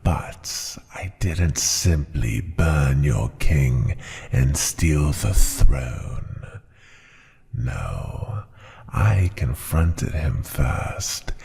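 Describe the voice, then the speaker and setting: Slow, gravelly, bottomless-voiced dragon tyrant. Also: male voice, expressive, a little mellow, exaggerated voice. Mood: smug. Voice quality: deep gravelly growl, breathy at times. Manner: pompous, menacing, deliberate. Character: ancient tyrannical male dragon ruler, contemptuous of humans. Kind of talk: ASMR roleplay, slow theatrical monologue.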